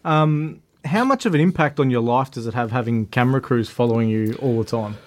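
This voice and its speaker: Host, playful, steady mid voice, leads the questions. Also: male voice, mid-range voice, a little fast. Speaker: podcast host. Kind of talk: interviewing a guest.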